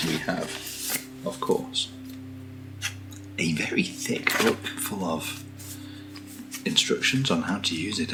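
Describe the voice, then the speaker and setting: A soft-spoken British man chatting through an ASMR unboxing in a breathy murmur that often drops near a whisper, with gently playful asides. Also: sits low, low-quality recording. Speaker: ASMR product reviewer.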